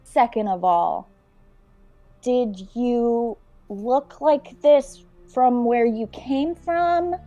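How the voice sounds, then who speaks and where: A woman playing a tabletop role-playing game, talking casually and improvising in a halting, rambling way. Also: a little high, dark tone, lo-fi sound, soft background music.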